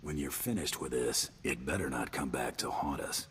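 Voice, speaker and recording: An actor playing a hard-bitten team leader in a video game, terse, dry and sardonic, with an air of threat. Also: male voice, extremely low pitch, moderate pace, a bit bright, a little hiss or hum. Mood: dry, wary. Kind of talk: video game character acting.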